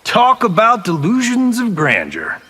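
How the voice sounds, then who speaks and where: An actor playing a cocky, flippant Hollywood action star in a video game, with sarcastic, wisecracking delivery. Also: male voice, high-pitched, wildly swooping pitch, unhurried, bright, forceful tone, husky, heavily acted. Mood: smug. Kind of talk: video game character dialogue.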